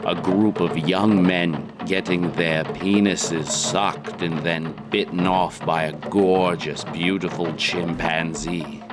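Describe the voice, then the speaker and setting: A man's voice: deep voice, fairly even intonation. A man playing a weary, melodramatic male explorer recording a solemn audio diary in mock old-time radio style. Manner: slow, solemn, drawn-out delivery. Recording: loud music under the voice. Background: loud music.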